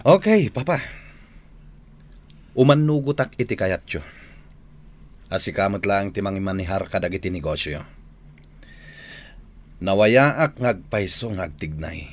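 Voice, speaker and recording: A man's voice: deep voice, animated, a little slow, pauses often, exaggerated voice. A man playing the vengeful, eager young son of a crime boss in a radio drama, with an Ilocano accent. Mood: determined. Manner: intense, dramatic. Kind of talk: Filipino radio drama acting.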